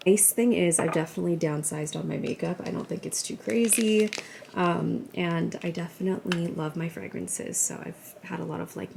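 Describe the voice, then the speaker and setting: A woman in her thirties, slightly raspy and half awake, chatting in a casual home vlog, upbeat and full of "like" fillers. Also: fairly low pitch, background noise. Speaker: lifestyle vlogger.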